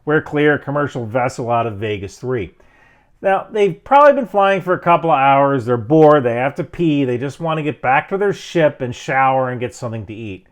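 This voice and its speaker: A man's voice: medium pitch, animated, moderate pace. A middle-aged American man giving emphatic, instructive commentary as a video host. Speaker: video host, military veteran. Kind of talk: explanatory commentary to camera.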